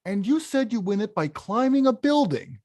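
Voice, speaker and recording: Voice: medium pitch, very animated, normal speed, a little mellow, close to an everyday voice. An actor plays a big, stubborn bad guy from a cartoon about video games. The voice swings widely as he blusters with frustration. He is emphatic and emotional, hungry for respect. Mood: eager. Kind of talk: animated film script table read.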